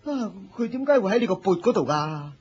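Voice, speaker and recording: An actor playing a deferential, excitable disciple in a Cantonese radio drama, eager and obliging, with a Hong Kong accent. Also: male voice, high voice, brisk, a little hiss or hum. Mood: alarmed. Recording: dull lo-fi radio drama recording.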